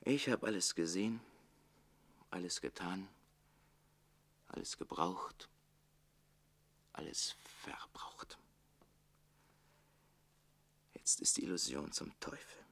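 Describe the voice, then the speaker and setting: A man playing a witty, self-pitying barber-servant in a radio drama, declaiming theatrically between exuberance and hushed lament. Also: low-pitched, lively intonation, slow, pauses often, heavily acted. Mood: weary, broken.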